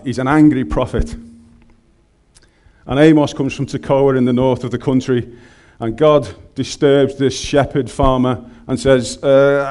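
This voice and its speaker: A man's voice: medium pitch, a little mellow. A man with a Scouse accent preaching a sermon in a chatty, joking way, speaking into a microphone in a large room. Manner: humorous, conversational, colloquial.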